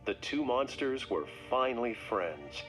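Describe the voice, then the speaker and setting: A man narrating a scripted read-along story for children in a dramatic, expressive way. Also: medium pitch, unhurried. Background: music.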